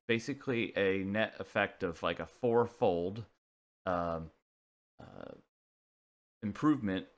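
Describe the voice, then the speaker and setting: A man giving an informal technical explanation, talking haltingly as he thinks aloud. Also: on the low side, a bit bright. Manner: casual, halting, thinking aloud while working through figures. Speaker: presenter of a CB radio technical video.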